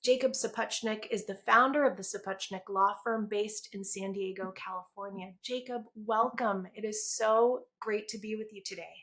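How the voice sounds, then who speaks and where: Bright-voiced upbeat woman host. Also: mid-range voice, normal speed, few pauses. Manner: upbeat and enthusiastic. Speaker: podcast host. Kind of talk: interview hosting, scripted intro.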